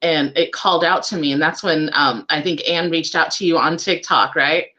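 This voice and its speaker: A woman's voice: deep voice, fairly even intonation, normal speed, sharp tone, highs cut off. A woman hosting a casual radio interview, speaking in an effusive, encouraging way.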